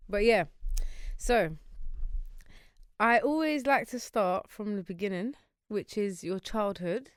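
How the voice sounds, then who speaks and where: Smooth-voiced young podcast host. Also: female voice, mid-range voice, slow, long pauses, dry recording, studio quality. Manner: chatty and encouraging, uses slang like "wicked" and "sick". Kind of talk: casual interview chat.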